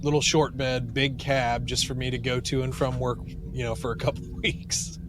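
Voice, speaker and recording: A man co-hosting a podcast in casual chat, grumbling wryly in a halting way. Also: medium pitch, level tone. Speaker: podcast co-host. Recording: clearly noisy ambient background.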